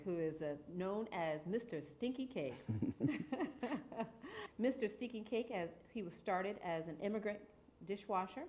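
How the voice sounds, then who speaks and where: A woman's voice: on the low side, slight background noise. A woman hosting a talk show from a TV studio, reading formal guest introductions and asking questions with encouraging murmurs.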